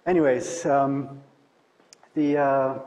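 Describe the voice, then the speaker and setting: A man's voice: medium pitch, steady tone, slow, a little mellow, rough recording. A man from Germany with a German accent, speaking as a professor presenting an informal technical talk. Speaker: university professor, guest speaker.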